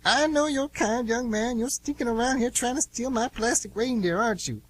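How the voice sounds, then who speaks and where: A man voicing a grumpy, suspicious old male neighbour in a pitched-up voice, scolding a boy in a radio play. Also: moderate pace, low-quality recording.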